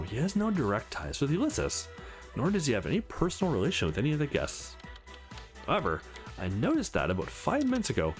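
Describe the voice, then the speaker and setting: Man voicing an eager, animated young hacker woman. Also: mid-range voice.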